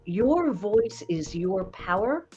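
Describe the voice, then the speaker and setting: Woman, 60s, voice coach guest, slightly low voice, emphatic storyteller, dull podcast audio. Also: sing-song, slow pace, a little mellow, soft background music. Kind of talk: conversational podcast interview.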